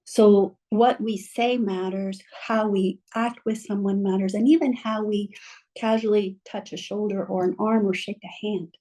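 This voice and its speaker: A woman with a mellow, relaxed voice speaks slowly and gently. She guides her listeners through a calming reflection. She is heard over a video call. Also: medium pitch, poor audio. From mother from Mexico, bilingual. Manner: gentle, slow, soothing guidance. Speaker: guest speaker.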